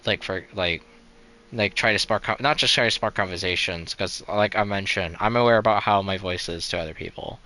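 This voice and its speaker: A young man with a low, bright voice chats casually while live-streaming a game. His sound is crisp and clean. He rambles, restarts his sentences and fills them with "like" and "you know", in a flat, resigned tone. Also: a little fast.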